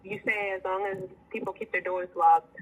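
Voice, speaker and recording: A woman's voice: mid-range voice, steady tone, moderate pace, a loud crowd. A woman chatting casually on the phone, polite, agreeable and unruffled. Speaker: property owner answering a phone call. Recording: noisy phone-call recording.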